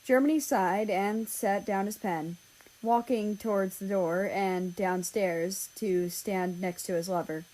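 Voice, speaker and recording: A woman narrates a piece of fan fiction, reading from the text. Her pace is slow and measured, and her voice sits at a middle pitch for a woman. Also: fairly even intonation, warm tone, rough recording. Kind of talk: scripted story narration, read aloud.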